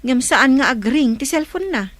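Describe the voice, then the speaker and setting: A woman's voice: sits high, expressive, normal speed, a bit bright, no echo. An actress playing a worried woman who frets about someone missing, in a radio drama. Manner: anxious, fretting. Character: worried, fretful woman waiting for someone at home.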